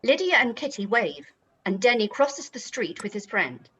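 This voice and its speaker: A woman's voice: on the high side, big pitch swings, moderate pace, fairly bright tone, a little hiss or hum. A woman reading stage directions as a narrator, in a scripted style.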